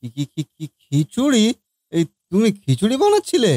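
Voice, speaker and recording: A man's voice: sits high, huge pitch swings, moderate pace, dark tone, no echo, clean studio sound, exaggerated voice. A man voicing a timid, doubtful young husband in a family comedy cartoon, stammering and pleading. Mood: alarmed. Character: timid, doubtful young husband dreading his wife's cooking.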